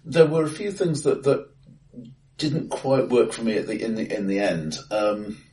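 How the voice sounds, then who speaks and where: A man co-hosting a casual film discussion, halting with many restarts and dry understated humour, recorded in a bright, echoey room. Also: mid-range voice, animated, far from the mic. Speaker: podcast co-host. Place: acoustically bright room, face to face. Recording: bright room, voices a bit off-mic.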